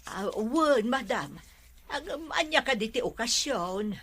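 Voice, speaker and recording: Husky, fretful older housemaid. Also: female voice, a little high, expressive, unhurried, a bit bright, full character voice. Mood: dutiful. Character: fretful, dutiful older housemaid called Nana. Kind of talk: Ilocano radio drama acting.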